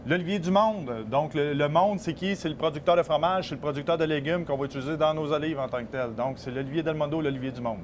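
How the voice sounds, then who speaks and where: A man's voice: on the high side, fast talker, few pauses, slight background noise. A man in his thirties with a Québécois French accent, a small-business owner who explains his work eagerly, with many fillers. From Québec. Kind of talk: casual interview.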